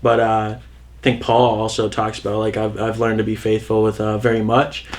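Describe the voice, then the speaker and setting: A man's voice: fairly low pitch, completely flat delivery, normal speed, a little hiss or hum. A man in his early twenties, a musician guest, talking in a laid-back, easygoing way and thinking aloud. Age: 20s. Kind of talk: casual chat.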